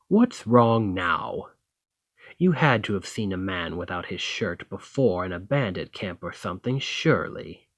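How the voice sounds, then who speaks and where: A man acting a commanding, low-voiced fantasy warrior lord. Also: lively intonation, unhurried, no echo. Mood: wry, teasing. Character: dominant Nordic fantasy thane, teasing, confident warrior lord. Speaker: roleplay audio performer. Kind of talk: ASMR fantasy roleplay acting, addressing the listener.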